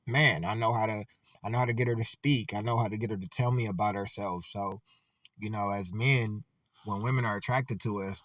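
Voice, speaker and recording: A man's voice: lowish voice, fairly bright tone, no echo. Casual man, dry sound from a distance. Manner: loose, conversational, lots of filler "like". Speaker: commentator. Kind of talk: casual reaction commentary.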